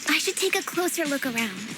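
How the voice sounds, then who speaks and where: Small, brave tiger-girl fighter for a game; tiny very high voice, earnest and watchful, music underneath. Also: sing-song, a little fast. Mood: wary, serious. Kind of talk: video game voice acting. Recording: music behind the voice.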